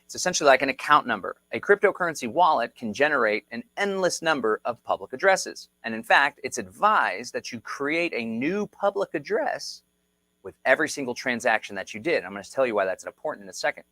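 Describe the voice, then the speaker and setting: Energetic livestream host, man, mid pitch, dry close sound, rallying and repetitive delivery. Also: brisk, no room sound. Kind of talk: casual livestream explainer. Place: Texas.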